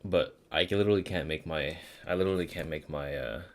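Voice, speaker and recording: A man's voice: very deep voice, fast talker, slight background noise. A man streaming a video game in a casual, informal way, thinking aloud and swearing now and then. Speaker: game livestreamer. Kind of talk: casual stream chat with gameplay commentary. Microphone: streaming microphone. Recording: music playing behind the voice.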